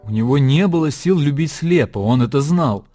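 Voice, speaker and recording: A man narrating a literary radio play in a measured storytelling manner. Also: on the high side, lively intonation, mellow. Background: music and birdsong.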